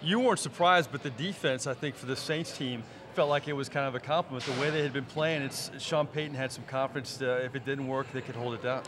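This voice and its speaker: Brisk fast-talking sports host, man. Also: mid-range voice, a crowd in the background. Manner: brisk, leading questions. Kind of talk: sports interview questions. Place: studio set with team backdrop, indoors. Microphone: handheld microphone.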